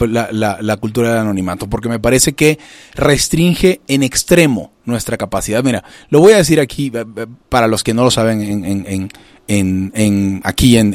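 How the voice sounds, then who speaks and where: A middle-aged man from Venezuela hosting a casual talk radio discussion, often restarting and filling pauses as he thinks. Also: mid-range voice, lively intonation, a little mellow, no room sound. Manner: halting, many restarts and fillers. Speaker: radio show host.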